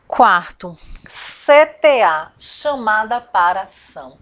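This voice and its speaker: A woman's voice: mid-range voice, sing-song, slow. A woman from Salvador, giving a chatty step-by-step lesson as a confectioner and business coach, often asking rhetorical questions. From Salvador, Brazil. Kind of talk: informal instructional video.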